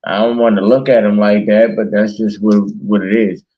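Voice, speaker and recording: A man's voice: lowish voice, level tone, normal speed, lo-fi sound. A man in casual, passionate conversation as a talk show host, speaking emphatically with slang and swearing. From Baltimore, United States.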